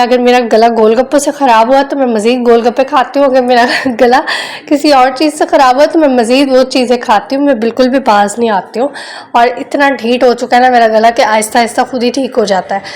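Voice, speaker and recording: A young woman, chatting casually in a homely vlog voice-over while nursing a sore throat. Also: sits high. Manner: chatty, homely, frequent filler phrases. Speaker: vlogger.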